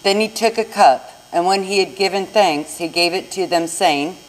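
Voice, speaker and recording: A woman's voice: fairly low pitch, moderate pace, low-quality recording. A woman narrating a scripted scripture reading in church. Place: inside a church.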